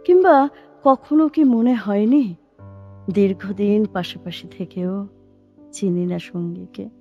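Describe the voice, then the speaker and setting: Lyrical, playful female stage artist for a poetic audio play. Medium pitch, expressive, gently challenging, music underneath. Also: slow pace, muffled recording. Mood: wistful, lyrical. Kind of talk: Bengali poetic audio-drama dialogue acting. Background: instrumental background music.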